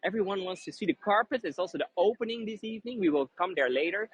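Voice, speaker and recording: A man's voice: high voice, expressive, quick pace, breathy edge, muffled recording. Dutch man giving a chatty walking tour. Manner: chatty, friendly, full of fillers and restarts. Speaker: tour guide. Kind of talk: live walking tour stream. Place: busy city streets outdoors.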